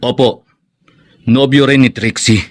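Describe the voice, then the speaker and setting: Man acting as polite aggrieved boyfriend, Filipino, medium pitch, radio drama. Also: fairly even intonation, a little slow, lightly acted. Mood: earnest, bitter.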